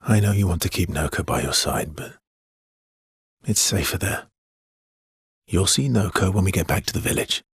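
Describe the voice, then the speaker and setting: Low, husky, wry adventurer. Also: male voice, normal speed, long pauses, no echo, exaggerated voice. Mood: wry, casual. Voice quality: husky, breathy. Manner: dry, teasing, understated. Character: wry immortal swordsman, teasing, loyal, world-weary adventurer. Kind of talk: video game voice acting.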